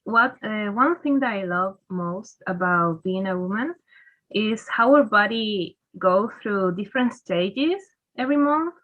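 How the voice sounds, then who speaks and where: A woman's voice: mid-range voice, lively intonation, unhurried. A woman in her twenties from Argentina speaking carefully on a video call panel. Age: 20s. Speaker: student panelist. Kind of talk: casual chat. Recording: tinny phone-like call audio.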